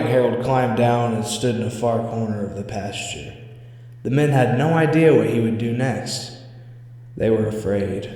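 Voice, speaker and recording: A man's voice: mid-range voice, darkish tone. Male horror story narrator in cavernous echo. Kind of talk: scripted spooky story reading. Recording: echoey reverberant hall sound.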